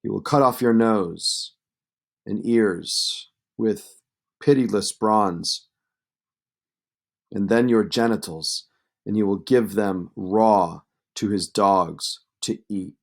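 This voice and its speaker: A man's voice: on the low side, slow, vocal fry, pauses often, full character voice. Guy reading cocky young suitor in an old epic, taunting and bossy, takes his time. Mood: taunting, threatening. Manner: taunting, domineering. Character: Antinous, arrogant young suitor, mocking and threatening. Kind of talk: storybook read-aloud of epic poetry.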